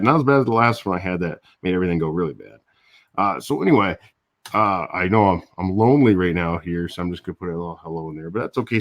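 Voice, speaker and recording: Older guy with a low voice, rambling live stream chat, chuckles a lot, kinda deep. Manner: rambling, chuckles often, restarts. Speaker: YouTube host. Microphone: different audio device that lowers voice.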